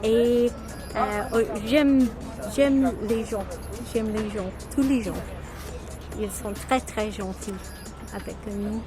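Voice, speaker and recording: A woman volunteer speaking haltingly, searching for words. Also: a little high, loud music behind the voice.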